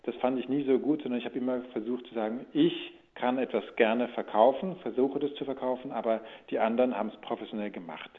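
A man with a mid-pitched, rough-edged voice answers interview questions casually. He often circles back to rephrase. The sound is thin, as if over a telephone. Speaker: audio drama producer and voice-over speaker. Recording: thin phone-like line.

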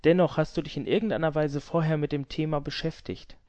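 A man with a slightly high voice hosts an interview in a dry, close recording. He puts his questions conversationally. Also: steady tone, brisk, darkish tone, no echo, highs cut off. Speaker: interviewer, show host.

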